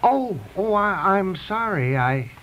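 Flustered, hesitant husband for radio comedy; slightly high voice, dull recording with light hiss. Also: male voice, big pitch swings, slow, fairly bright tone, exaggerated voice. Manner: hesitant, stammering. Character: flustered, old-fashioned, henpecked married office man. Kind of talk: radio domestic comedy-drama acting. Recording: muffled, faintly noisy radio recording.

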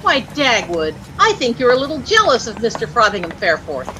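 An actress with an American accent playing a chirpy, easily dazzled young housewife who gushes and lightly scolds in a 1940s radio comedy. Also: female voice, fairly high pitch, huge pitch swings, moderate pace, pushed and bright, exaggerated voice. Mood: indignant, scolding. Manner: gushing, breathlessly admiring, lightly scolding. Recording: noisy old-time radio recording.

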